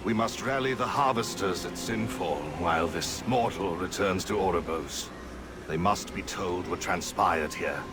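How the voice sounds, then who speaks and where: An actor playing an earnest, aristocratic rebel prince in a gothic fantasy video game, formal and impassioned. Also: male voice, low-pitched, measured pace, over loud music. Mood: urgent. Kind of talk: video game cinematic acting.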